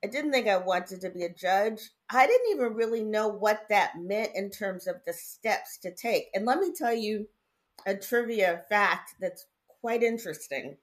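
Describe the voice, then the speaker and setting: Articulate middle-aged woman judge. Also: medium pitch. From Indiana, raised in Connecticut. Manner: articulate, measured, chatty with laughs. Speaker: judge, guest. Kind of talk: casual interview, storytelling.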